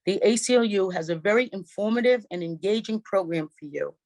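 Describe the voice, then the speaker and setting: A woman moderating a public forum, reading prepared opening remarks in a measured way with small slips, over a video call. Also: medium pitch, a little mellow, rough recording. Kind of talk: formal scripted welcome.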